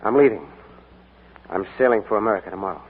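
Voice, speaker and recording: A man's voice: on the low side, quick pace, husky, phone-quality sound. An actor playing a young man back from the war who stammers and hesitates, in 1940s radio acting on an old broadcast recording. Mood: evasive.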